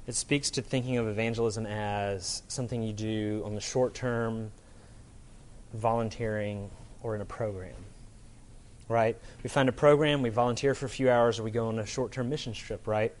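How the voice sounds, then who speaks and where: Male pastor, medium pitch, informal conversational sermon delivery, colloquial phrasing, recorded with audible background noise. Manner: casual, colloquial, checks in with "right?". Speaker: pastor preaching.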